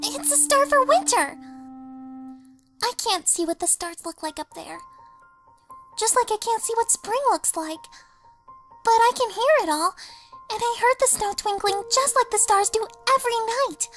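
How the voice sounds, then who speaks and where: A woman voicing an earnest, gentle, wistful little girl pony in a cartoon. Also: very high voice, lively intonation, moderate pace, pauses often, faint music behind. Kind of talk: animated cartoon acting.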